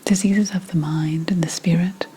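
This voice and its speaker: A woman playing a proud, secretive 17th-century witch healer, whispering slowly and formally in an ASMR roleplay. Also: fairly low pitch, fairly even intonation, slight background noise, lightly acted. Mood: solemn, wounded pride. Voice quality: breathy unvoiced whisper. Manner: deliberate, hushed, archly formal phrasing.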